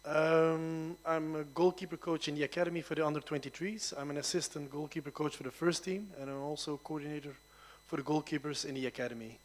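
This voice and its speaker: A man in his forties gives a plain, matter-of-fact introduction. His voice sits a little higher than most men's, and he lists his roles without fuss. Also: steady tone, fairly quick. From Belgium. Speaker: goalkeeper coach. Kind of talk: press conference self-introduction.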